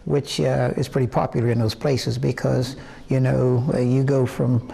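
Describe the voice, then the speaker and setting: A man in his eighties from West Virginia, a singer telling his life story in an interview in a halting, rambling way full of fillers and restarts. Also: mid-range voice, level tone, vocal fry, mellow, rough recording. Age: 80s. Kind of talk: reminiscing interview answers.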